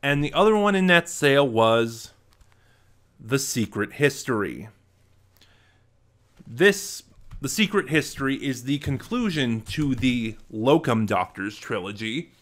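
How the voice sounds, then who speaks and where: A man's voice: mid-range voice, lively intonation, a little slow, fairly bright tone. A young adult man hosting a casual vlog, talking chattily and conversationally into a desk microphone in a room at home. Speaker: vlog host. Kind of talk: casual unboxing and review vlog talk. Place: small home room, indoors. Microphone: desk microphone close in front.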